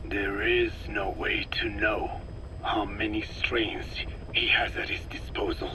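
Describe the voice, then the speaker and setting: An actor playing a grave, learned old parasite expert in a video game, with a breathy rasp and formal, measured phrasing. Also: male voice, lowish voice, level tone, background noise. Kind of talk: dramatic video game dialogue.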